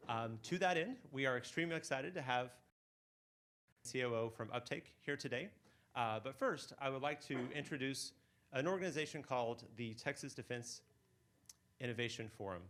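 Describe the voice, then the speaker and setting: A man's voice: medium pitch, normal speed, fairly bright tone. A man hosting an event, giving earnest opening remarks to an audience. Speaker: event host for a defense innovation office. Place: event space.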